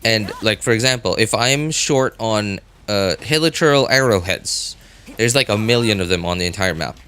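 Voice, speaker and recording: A man with a fairly low voice talks casually on a game livestream. He thinks aloud, stopping and starting, and circles back to repeat what he has said. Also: animated, moderate pace, a bit bright, clear, resonant tone, soft background music.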